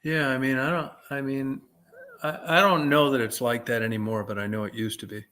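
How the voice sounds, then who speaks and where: A man talks in a casual, offhand way, agreeing easily with the others. His voice has a middle pitch for a man. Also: steady tone, a little fast, sharp tone, dry recording. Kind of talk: casual chat.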